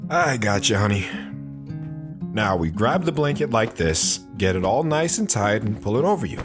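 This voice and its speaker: A man's voice: lowish voice, sing-song, moderate pace, music in the background. A man playing a loving, reassuring father in an audio drama, speaking tenderly to his daughter. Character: loving, reassuring, playful father of a young girl.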